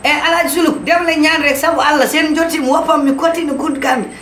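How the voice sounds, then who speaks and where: An actor voicing an excitable, loudmouthed schemer in a cartoon, with a Senegalese accent and an exclamatory, scolding delivery. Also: high voice, quick pace. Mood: scheming. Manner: exclamatory, scolding, switching between two languages. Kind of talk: animated cartoon voice acting in comedy. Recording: roomy, echoing, with background noise.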